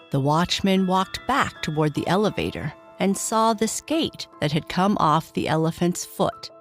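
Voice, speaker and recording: A woman with a slightly low voice tells a children's bedtime story. She reads very slowly with lots of melodic movement, and music plays underneath. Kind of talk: expressive scripted children's storytelling.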